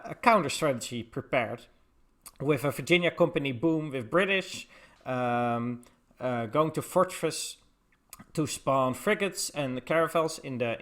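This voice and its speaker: A man's voice: on the high side, a bit bright. A man with a Dutch accent, from the Netherlands, casting a live esports match in a loose, chatty way with many filler words. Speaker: esports caster. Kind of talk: casual live stream commentary.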